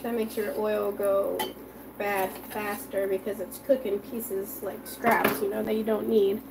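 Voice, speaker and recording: A woman's voice: medium pitch, little pitch movement, measured pace, gravelly, background noise. A woman chatting with viewers and reading their comments while she fries food in her home kitchen. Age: adult with children. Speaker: home cooking livestream host. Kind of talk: casual live cooking chat with viewers.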